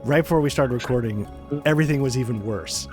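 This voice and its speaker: A man's voice: mid-range voice, fast talker, darkish tone, faint music behind. A man hosting a tabletop game podcast, rambling casually and laughing often as he wraps up a session from home. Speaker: game master and podcast host. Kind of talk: casual post-session chat.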